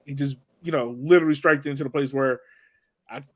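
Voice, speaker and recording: A man's voice: mid-range voice, steady tone, a little fast, fairly bright tone, dry recording. A man hosting a casual sports podcast, talking through a game in conversational analysis. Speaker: podcast host moderating a soccer discussion.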